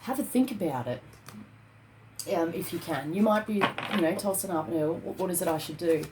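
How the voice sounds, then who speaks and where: A woman's voice: on the low side, animated, moderate pace, background noise. A woman in her thirties giving a casual tarot reading to camera at home, filling her talk with "okay" and "um". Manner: chatty, repeats "okay" and "um" often. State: hoarse, losing her voice. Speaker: tarot card reader. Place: small home room with bookshelves.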